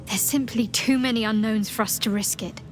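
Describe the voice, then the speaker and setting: An actress playing a cautious, sceptical companion in a fantasy video game, with a measured, wry delivery. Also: female voice, fairly high pitch, fairly even intonation, faint music behind, natural voice. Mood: wary, wry. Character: guarded, sceptical young woman adventurer, wryly cautious.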